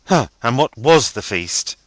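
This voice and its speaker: A man voicing a stiff, half-grumbling young gentleman fiancé. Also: mid-range voice, big pitch swings, dry recording, pitched above the performer's own voice. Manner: measured, slightly sulky delivery.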